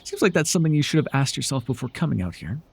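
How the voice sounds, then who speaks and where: A man playing a proud, sardonic telepathic supervillain with hidden tenderness in an ASMR roleplay, theatrical and condescending with a husky voice. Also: mid-range voice, sing-song, racing, exaggerated voice. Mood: teasing, softening. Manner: theatrical, grandiose, flustered, dryly condescending.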